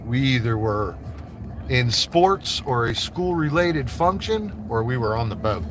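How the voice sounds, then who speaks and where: Slow-talking laid-back man on a noisy stream. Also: mid-range voice, sing-song, fairly bright tone. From South Florida. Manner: laid-back, chatty, says "bro" often. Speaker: livestream host. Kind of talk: casual live-stream chat greeting viewers.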